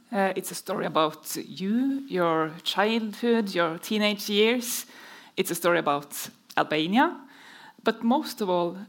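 A middle-aged woman with a Norwegian accent introducing a guest on stage as interviewer, in halting, measured phrases. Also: medium pitch.

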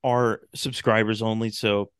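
Clear-voiced man chatting in a dry recording. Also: lowish voice, level tone, unhurried, no echo. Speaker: podcast guest, talk show host and radio drama maker. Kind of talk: casual interview chat.

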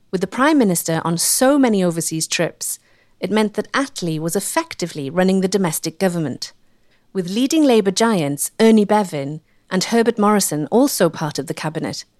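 A woman reading scripted documentary narration in a measured, storytelling way. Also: on the low side, darkish tone, dry recording, clean studio sound. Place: dry studio voice-over.

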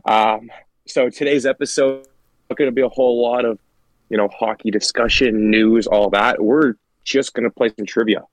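A man's voice: mid-range voice, steady tone, moderate pace, no echo, poor audio. A congested man hosting a podcast in a laid-back, jokey chat with friends. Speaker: podcast host. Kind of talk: casual chat.

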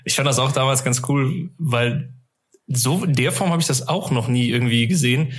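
A man's voice: medium pitch, moderate pace. Casual, rambling man in conversation. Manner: colloquial, rambling, often amused. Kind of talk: casual interview chat, telling personal anecdotes.

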